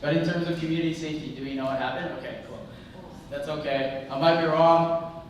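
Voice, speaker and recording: A man with a fairly high voice talks casually to a group as a panelist, checking in with questions and hedging as he goes. The recording is distant and very echoey, with noticeable noise. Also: steady tone, brisk. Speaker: forum panelist. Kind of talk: informal discussion with an audience. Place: large indoor arts venue. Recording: noisy, echoey hall recorded from far off.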